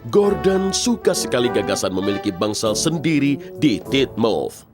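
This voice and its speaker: A man's voice: medium pitch, big pitch swings, normal speed. A man narrating a scripted children's story. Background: music.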